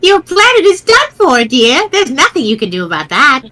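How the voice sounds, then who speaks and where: A woman's voice: high-pitched, wildly swooping pitch, normal speed, pushed and bright, exaggerated voice. A woman voicing a sweet old grandmother ghost in an amateur webcomic reading, riddling and doting in a theatrical, instructive way. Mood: cryptic, doting.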